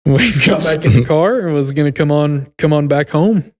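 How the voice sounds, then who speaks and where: A man's voice: a little high, normal speed. A young adult man co-hosting a podcast, telling a story casually in an easygoing, chuckly way with folksy slang.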